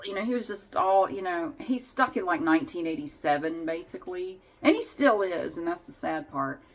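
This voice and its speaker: A woman's voice: lowish voice, animated, normal speed. A woman vlogger casually telling a personal story in a candid, wry and sarcastic way.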